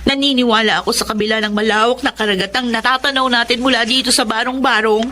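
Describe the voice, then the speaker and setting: A woman's voice: a little high, fairly even intonation, quick pace, sharp tone, slight background noise. An actress with a Filipino accent playing an earnest, determined young provincial woman in a radio drama.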